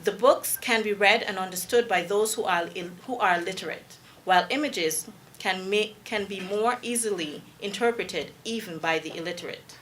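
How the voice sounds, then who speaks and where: A woman reading a prepared, formal introduction of a lecturer, measured and trailing off at the ends of her lines. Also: medium pitch, a bit bright, a little hiss or hum, poor audio. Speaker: presenter introducing the speaker.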